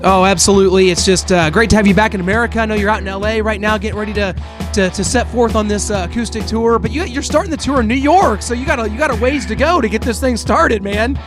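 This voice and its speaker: Man, high voice, podcast host, filler-heavy, faint music behind. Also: fast talker. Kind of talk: casual interview.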